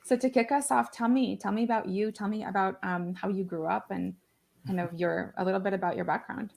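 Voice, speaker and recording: A woman's voice: medium pitch, fairly even intonation, brisk, vocal fry, a little mellow. A woman hosting an interview on a video call, upbeat and loose in her phrasing, often laughing. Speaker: host. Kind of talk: conversational interview.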